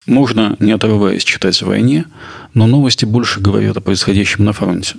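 A man's voice: fairly low pitch, fairly quick, gravelly, faint music behind. A man narrating a podcast as its host, reading scripted commentary.

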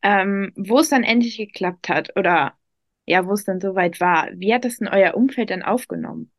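A woman's voice: mid-range voice, moderate pace, no echo. Young female interviewer, mid voice, upbeat and casual, dry close sound. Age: young adult. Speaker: youth reporter, interviewer.

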